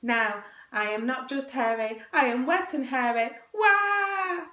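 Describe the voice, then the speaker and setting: A woman acts out a gross, boastful young boy from a children's storybook. The voice is pitched up high, with childish, exclamatory delivery and a roomy echo around it. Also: moderate pace, a bit bright, natural voice. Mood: tearful, pleading. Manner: exclamatory, childish bragging and pleading. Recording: roomy, echoing indoor recording.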